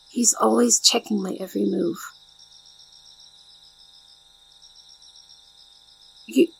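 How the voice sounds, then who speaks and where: A shy young maid played by a woman in an ASMR roleplay, devoted and deferential. Her gentle voice is breathy and heavily creaky, with formal, careful wording. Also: fairly high pitch, no room sound, heavily acted, low-quality recording. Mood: surprised, anxious.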